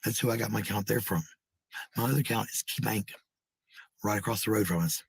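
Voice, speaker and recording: An American man with a husky, gravelly voice rambling casually to livestream viewers, blunt, sweary and given to repeating phrases. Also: fairly low pitch, quick pace, dry recording. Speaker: live streamer.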